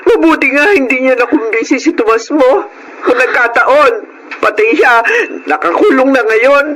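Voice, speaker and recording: Umiiyak at balisang asawa, maingay ang recording. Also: female voice, sits high, moderate pace, fairly bright tone. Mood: anguished, guilty. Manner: wailing, melodramatic. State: crying. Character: distraught, guilt-ridden wife of an arrested man. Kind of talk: Filipino radio drama acting. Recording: noisy radio drama recording.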